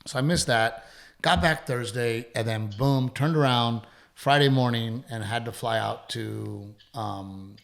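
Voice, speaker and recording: A man's voice: mid-range voice, unhurried, vocal fry, a bit bright. A middle-aged man, a comedian hosting a podcast, chatting casually in a rambling, profane, self-deprecating way. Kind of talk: casual chat.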